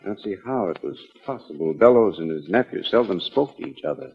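A man's voice: on the low side, expressive, brisk, gravelly, husky, full character voice. A man playing a busy, reluctant financial advisor in a 1940s radio drama, curt and matter-of-fact. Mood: guarded, businesslike. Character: busy, reluctant male financial advisor, guarded but businesslike. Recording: tinny, narrow-band 1940s radio recording.

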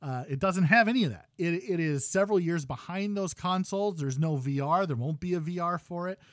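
A man in his thirties hosting a gaming podcast, ranting loudly with exasperation while losing his voice. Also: sits high, a little fast, no room sound, studio quality. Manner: loud exasperated ranting, swings to quiet muttering. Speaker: podcast host.

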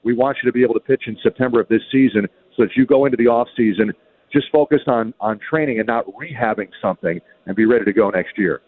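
A man's voice: mid-range voice, fast, no echo. Man on a thin, phone-like line. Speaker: baseball radio play-by-play announcer, guest. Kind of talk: sports radio interview analysis. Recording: muffled narrow-band radio call-in line.

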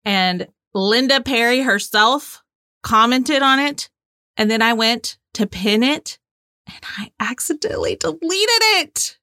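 A woman's voice: a little high, a little slow, bright, forceful tone, clean tone, no echo, studio quality. A woman co-hosting a casual trivia game, chatting and bantering in a playful, giggly way. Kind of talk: casual bantering quiz chat.

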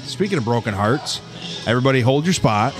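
A man co-hosting a podcast in casual crude banter, tossing out blunt heavily profane quips, relaxed from edibles. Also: medium pitch, a little fast, over music. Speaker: podcast co-host.

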